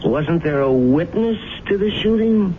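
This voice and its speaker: A man playing a sly, ingratiating young boarder in a radio drama, speaking in a halting, placating way. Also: a little high, animated. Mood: doubtful, probing. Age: young adult. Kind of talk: 1970s radio drama acting. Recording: muffled, very noisy old radio broadcast.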